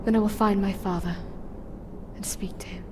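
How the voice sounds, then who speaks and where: A woman's voice: mid-range voice, fairly even intonation, normal speed, noisy background, close to an everyday voice. A woman playing a proud, earnest noblewoman in a dramatic fantasy video game. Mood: sorrowful, resigned. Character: proud, earnest noblewoman defending her city.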